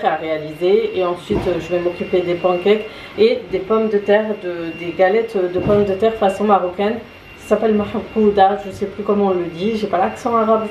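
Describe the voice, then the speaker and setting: A woman's voice: mid-range voice, darkish tone, echoey room, dull audio, slight background noise. A tired woman chatting casually in her home kitchen, hesitant, with many fillers. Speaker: vlogger. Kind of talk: casual vlog chat.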